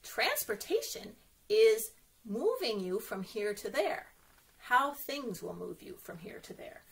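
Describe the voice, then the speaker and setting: A woman's voice: on the high side, sing-song, takes it slowly, gravelly, rough recording. A woman leading an interactive story time as a children's librarian, encouraging and sing-song, often putting questions straight to young listeners.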